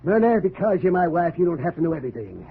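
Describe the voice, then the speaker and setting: An actor playing a short-tempered, domineering planter husband in an old-time radio drama, blustering and uneasy, on a thin, noisy vintage recording. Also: male voice, on the high side, big pitch swings, fast talker, creaky, narrow, tinny audio. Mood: angry, commanding. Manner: blustering, barking orders.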